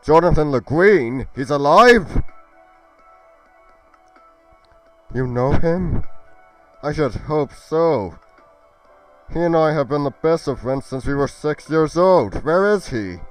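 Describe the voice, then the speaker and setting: Slow-speaking, earnest fantasy hero played by a man. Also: fairly high pitch, lively intonation, voice pushed up. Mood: eager. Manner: formal archaic phrasing, deliberate. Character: earnest chivalrous male fantasy warrior, wistful and brave. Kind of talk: amateur fantasy roleplay voice acting. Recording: music under the voice.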